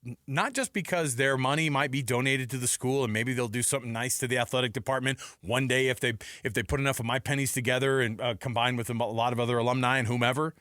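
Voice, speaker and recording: Man, middle-aged, mid-pitched, sports talk co-host, emphatic, repetitive for effect, dry studio sound. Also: steady tone, fast, fairly bright tone, no room sound. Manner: emphatic, rhetorical questions, repeats points.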